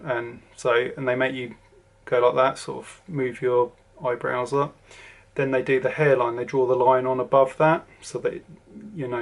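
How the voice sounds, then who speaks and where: A man in his forties from southern England with a southern English accent, giving a casual, rambling to-camera vlog update with lots of ums and restarts. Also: medium pitch. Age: 40s. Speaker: vlogger.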